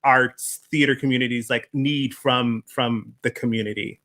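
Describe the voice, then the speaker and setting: A man with a medium-pitched voice hosts an interview in a casual, conversational way. He is effusive and encouraging, doubling up words and phrases for emphasis. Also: steady tone, moderate pace, fairly bright tone. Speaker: host, interviewer.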